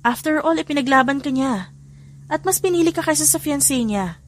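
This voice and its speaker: A woman's voice: high voice, animated, brisk, voice pushed up. Woman playing a caring, persuasive female friend. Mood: gently urging.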